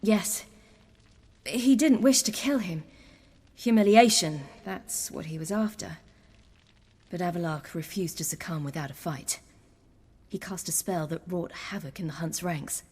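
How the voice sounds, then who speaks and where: An actress playing a young hunted woman warrior in a video game, earnest, wry and defiant, telling things in a measured way. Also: fairly low pitch, darkish tone, husky, long reverb tail. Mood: grave, recounting. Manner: measured, earnest storytelling with dry wit. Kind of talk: video game dialogue acting.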